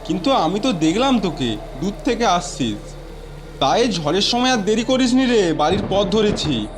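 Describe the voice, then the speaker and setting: Man playing a familiar village elder-brother figure, colloquial, high-pitched, swooping intonation, suspense audio drama. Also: big pitch swings, moderate pace, background noise. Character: familiar older-brother villager, a flour mill worker. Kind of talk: suspense audio story acting.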